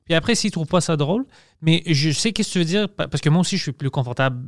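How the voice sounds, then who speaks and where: A podcast host and comedian who speaks French as a second language with a strong accent, chatting casually and candidly. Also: male voice, a little high, animated, fast talker, no echo, clean studio sound.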